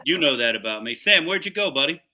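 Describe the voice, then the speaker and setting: A man's voice: fairly high pitch, big pitch swings, quick pace, sharp tone. A man hosting a live cooking show, chatting casually in slang and talking up the food as he cooks.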